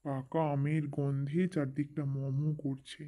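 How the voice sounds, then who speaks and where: A man's voice: medium pitch, level tone, a little slow, mellow, natural voice. A man playing a sly, carefree bird in a children's cartoon, talking in a coaxing, breezy way. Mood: scheming, eager. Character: sly, carefree male bird plotting mischief. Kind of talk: children's cartoon story dialogue.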